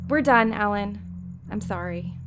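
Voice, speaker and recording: A woman's voice: medium pitch, over music, natural voice. A woman playing a blunt, unenthusiastic young woman in a comedic audio drama. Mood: dismissive. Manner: blunt, unhurried.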